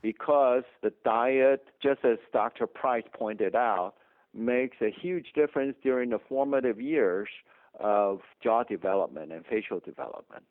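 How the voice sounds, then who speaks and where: A man's voice: medium pitch, unhurried, dry recording. Taiwanese-accented man on a tinny line. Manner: emphatic, explanatory, chuckles often. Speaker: dentist guest. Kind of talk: interview conversation. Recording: dry tinny phone line.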